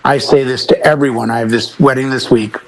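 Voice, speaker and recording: Middle aged guy on a casual panel chat, kinda emphatic, medium pitched voice, repeats himself for effect. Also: fairly even intonation, brisk, poor audio. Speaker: talk show panelist, professional DJ.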